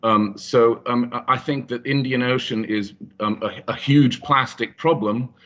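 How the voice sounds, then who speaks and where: A man speaking on a panel over a video call, halting with many restarts and fillers. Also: mid-range voice, steady tone, a bit bright. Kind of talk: panel discussion. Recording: muffled video call audio.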